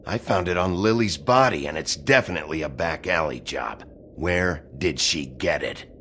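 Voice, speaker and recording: A man playing a gruff, hard-boiled wolf sheriff in a video game, with a terse, threatening manner. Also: extremely low pitch, animated, moderate pace, sharp tone, a little hiss or hum, heavily acted. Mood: pressing, suspicious. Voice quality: gravelly.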